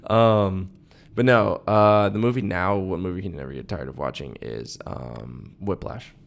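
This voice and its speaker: A man's voice: deep voice, moderate pace, gravelly. A young man co-hosting a podcast in casual chat, rambling with lots of "like" and "dude". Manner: rambling, heavy use of "like" and "dude". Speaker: podcast co-host.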